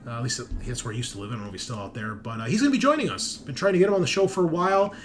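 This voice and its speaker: A man's voice: medium pitch, rapid-fire, over music. A man hosting a tabletop gaming show, talking casually and informally in a hesitant, rambling, conversational way. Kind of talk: casual chat, rambling announcements of upcoming episodes.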